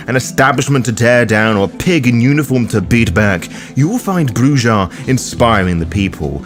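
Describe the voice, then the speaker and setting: A man delivering scripted video-essay narration in an emphatic, opinionated manner, as the host of a lore guide. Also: mid-range voice.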